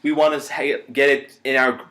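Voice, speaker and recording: A young man co-hosting a podcast in casual fan chat, excitable and joking. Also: medium pitch, moderate pace, roomy sound. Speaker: podcast co-host.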